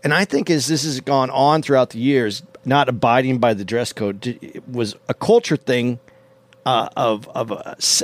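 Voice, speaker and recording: A man on a casual talk show works through a memory at his own pace. He restarts his sentences often and fills gaps with "um" and "like". His pitch sits in a middle range. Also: dry recording. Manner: rambling, with frequent restarts and "um"s. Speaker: podcast co-host. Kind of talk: casual chat, storytelling.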